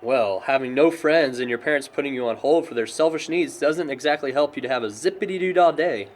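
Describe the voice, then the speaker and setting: A man's voice: medium pitch, fairly quick, close to an everyday voice. A young American man playing a wry, chatty, gossipy friend with a sarcastic streak in an audio drama. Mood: defensive. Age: young adult. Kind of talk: conversational audio drama acting.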